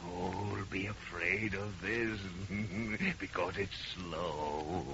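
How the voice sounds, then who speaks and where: An actor playing a murderous husband cracking with fear, theatrical, in an old radio horror play. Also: male voice, low-pitched, fairly even intonation, very slow. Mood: gloating menace. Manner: theatrical, swinging from muttered dread to shouting. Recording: noisy, dull old radio recording.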